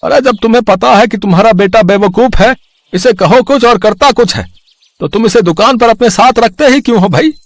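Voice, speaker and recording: A man voicing an indignant, aggrieved village customer in a Hindi animated story. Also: high voice, brisk, no room sound. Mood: outraged. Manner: indignant scolding.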